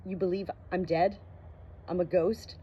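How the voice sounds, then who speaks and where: Woman playing a hesitant ghost girl, slightly husky. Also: lowish voice, fairly even intonation, measured pace, warm tone, muffled recording. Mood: bewildered. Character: awkward, self-conscious teenage ghost girl, wry and hesitant.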